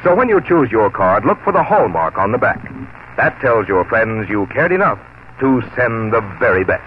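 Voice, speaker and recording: A man delivering scripted 1940s radio announcements in a polished, measured, emphatic way. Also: medium pitch, sing-song, phone-quality sound. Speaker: announcer and sponsor spokesman. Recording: thin, noisy 1940s radio broadcast.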